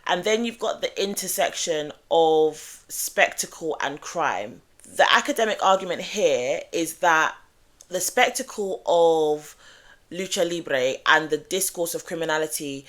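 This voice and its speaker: A woman hosting a true crime show, talking in a measured, analytical way with small restarts, her voice still slightly groggy after being ill. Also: low voice, expressive, fairly bright tone. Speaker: true crime channel host. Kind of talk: true crime explainer monologue.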